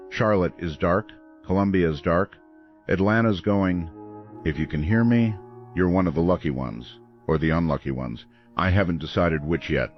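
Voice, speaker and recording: An actor playing a grim, weary late-night radio host, speaking gravely and deliberately in an audio horror drama. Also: male voice, deep voice, muffled recording, lightly acted. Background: music.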